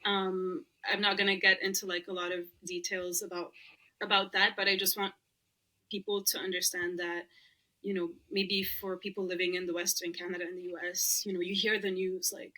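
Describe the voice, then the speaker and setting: A young woman with a bright, clear voice. Her is Arabic, but she talks easily in English. She is chatty and laughs often as a podcast guest. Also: lowish voice, little pitch movement, moderate pace, low-quality recording. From Lebanon. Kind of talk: casual interview chat.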